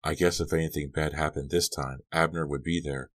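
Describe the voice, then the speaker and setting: A man voicing a sharp-tongued, disdainful teenage girl in an audiobook, speaking with scornful certainty. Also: a bass voice, steady tone, fairly quick. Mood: grudging.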